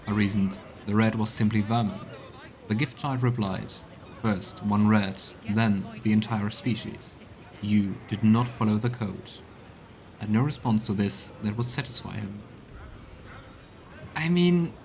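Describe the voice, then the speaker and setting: A man streaming a video game, giving commentary and reading in-game texts aloud in a measured, storytelling way. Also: fairly low pitch, a little hiss or hum. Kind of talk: let's play commentary and voiced reading. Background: video game music.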